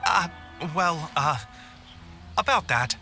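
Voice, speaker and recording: Bright, high-voiced earnest editor. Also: male voice, animated, slow, soft background music, heavily acted. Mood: nervous, apologetic. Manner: deferential, earnest. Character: flustered, courteous male publishing house editor. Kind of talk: video game voice acting.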